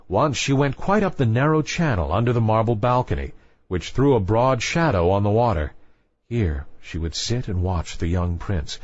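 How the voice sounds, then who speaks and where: A man with a fairly low voice narrates a children's fairy tale as a storyteller. His pitch rises and falls in a very animated way. The recording is very dry and slightly muffled, with a lo-fi dullness. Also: dry recording.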